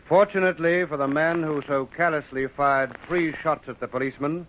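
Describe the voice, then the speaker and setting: A male Australian narrator with a slightly high voice delivers formal, scripted radio narration. His manner is solemn and declamatory. The old broadcast recording carries some noise. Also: a little fast.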